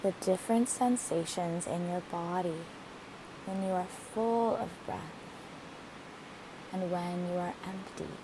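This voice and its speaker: Woman doing guided meditation, really slow and soothing, lowish voice, bit of hiss behind her. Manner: gentle, soothing, deliberate phrasing. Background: hiss, rushing waterfall water.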